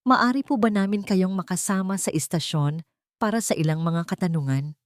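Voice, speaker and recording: A woman's voice: on the low side, normal speed, no room sound, studio quality, lightly acted. A woman voicing a formal, emotionless police officer in a dramatized story. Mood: formal, detached. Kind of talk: audio drama storytelling in Tagalog.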